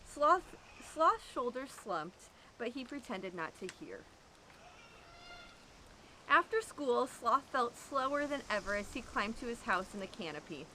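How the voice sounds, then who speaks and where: A woman reading a children's picture book aloud, with expressive, drawn-out emphasis. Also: fairly high pitch, slight background noise, rough recording. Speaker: storyteller at an animal sanctuary.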